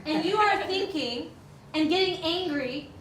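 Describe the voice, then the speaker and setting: A woman teaching a dance class, talking slowly and deliberately and counting out beats for her students. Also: very high-pitched, a bit bright, roomy sound, distant mic, a little hiss or hum, poor audio. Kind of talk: classroom instruction.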